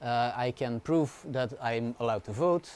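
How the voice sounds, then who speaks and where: A man's voice: mid-range voice, measured pace, a little mellow, clear, resonant tone. Guy in his 20s giving a slide talk, casual, lots of um and yeah? right?. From Belgium. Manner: casual, informal explaining with frequent "yeah?" and "right?" check-ins; fillers and restarts, light humor. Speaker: university researcher giving a talk.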